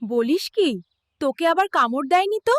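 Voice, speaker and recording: A woman's voice: high voice, expressive, moderate pace, fairly bright tone, no echo, clean studio sound. A woman voicing a fretful, caring village mother in a cartoon fairy tale. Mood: concerned.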